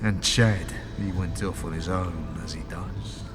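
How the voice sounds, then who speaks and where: A man plays a crude grave-robber spirit who rambles in a rough street dialect and cackles at his own bad luck. He drawls slowly in a low voice. The sound rings with a long hall echo, and loud music plays underneath. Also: fairly even intonation. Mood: cackling. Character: grave-robbing male ghost, chatty and crude. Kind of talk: fan audio drama acting.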